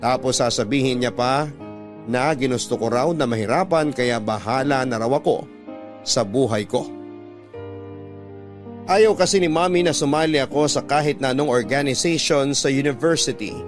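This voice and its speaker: Male narrator as hurt young student; measured, sentimental radio letter-reading, medium pitch, clear music bed. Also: lightly acted. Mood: wistful.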